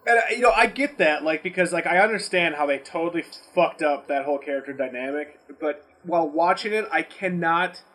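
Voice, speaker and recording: A man's voice: on the high side, fairly quick, few pauses. A man co-hosting a podcast, talking in a blunt, profane, rambling way as he casually argues and mimics people. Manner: rambling, animated ranting with mimicked quotes. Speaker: podcast co-host. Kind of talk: casual movie debate.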